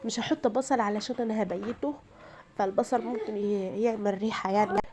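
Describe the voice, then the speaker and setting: A woman's voice: medium pitch, fairly even intonation, brisk, mellow. A tired woman with a rural Egyptian accent chats through her home cooking, explaining each step to her viewers. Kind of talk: casual cooking narration. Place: home kitchen.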